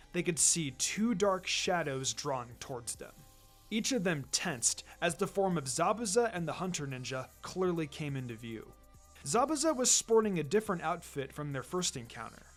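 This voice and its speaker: Animated storyteller over background music. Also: mid-range voice, normal speed. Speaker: narrator, fan fiction reader. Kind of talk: dramatic scripted story narration.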